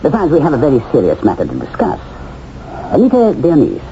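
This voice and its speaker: A suave, sinister fixer purrs his coldly persuasive lines in a 1970s radio drama. His pitch swoops wildly up and down over a mellow tone. The broadcast sounds muffled, with a faint hiss. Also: wildly swooping pitch, quick pace. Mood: coolly menacing. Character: suave sinister man from a face-changing company.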